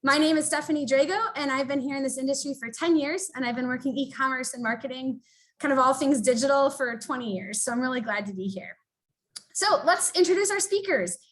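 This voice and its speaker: A woman with a high voice hosts an online business webinar. She talks in an upbeat, chatty way with the odd filler. She sounds like she is on a video call. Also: brisk, a bit bright. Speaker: webinar host. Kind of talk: webinar hosting.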